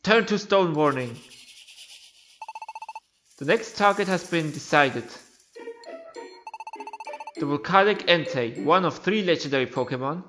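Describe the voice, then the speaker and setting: A man's voice: on the high side, expressive, measured pace, pauses often. A man who is a non-native English speaker reads a video game's story and dialogue aloud in a theatrical way. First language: not English. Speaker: amateur game playthrough narrator. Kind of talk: voiced story playthrough of a video game. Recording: music behind the voice. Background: video game music.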